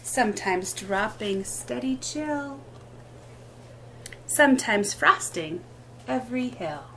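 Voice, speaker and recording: A woman hosting a children's story time, reading a picture book aloud expressively in short, deliberate phrases. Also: a little high, lively intonation, fairly bright tone, slight background noise.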